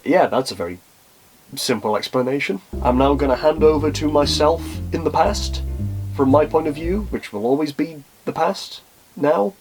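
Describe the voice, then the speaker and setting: A man's voice: fairly high pitch, normal speed, over music, rough recording. A man in his twenties chatting casually to camera as he answers questions, with a wry, self-deprecating manner. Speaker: YouTube host answering viewer questions.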